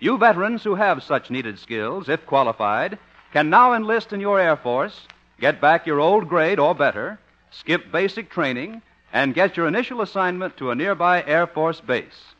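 Bright, high-pitched 1950s male radio announcer. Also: big pitch swings, moderate pace. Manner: declamatory, formal. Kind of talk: 1950s radio announcing, scripted sponsor read. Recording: dull, muffled 1950s radio recording.

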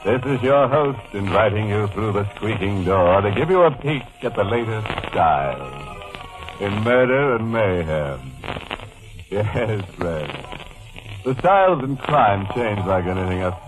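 A macabre horror host for an old radio mystery show, with music behind him. He speaks low and slowly, savoring each grisly line with tongue-in-cheek, mock-friendly glee. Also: very animated, poor audio. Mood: ghoulish glee. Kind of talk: 1940s radio horror introduction.

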